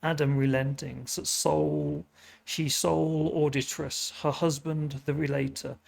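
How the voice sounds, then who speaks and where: A British man with a sniffly, hoarse voice, tired and chatty in a self-mocking way, who hosts a storytelling show and reads an epic poem aloud. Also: on the high side, level tone, a little slow, a little mellow. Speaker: storyteller host. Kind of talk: casual intro, then reading an epic poem aloud.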